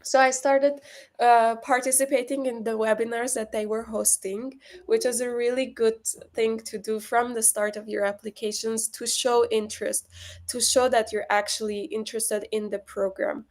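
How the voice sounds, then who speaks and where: A young woman from Lebanon speaks as the guest on a webinar, talking casually and often restarting her sentences. Her voice sits fairly high and stays within a narrow, flat range. She comes through a video call. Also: normal speed. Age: young adult. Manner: casual, frequent fillers and restarts. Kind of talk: webinar interview.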